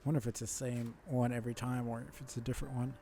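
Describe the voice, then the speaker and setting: A man giving casual live commentary on a video game, musing and muttering to himself in an unhurried way as he thinks aloud. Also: medium pitch, little pitch movement, warm tone, slight background noise.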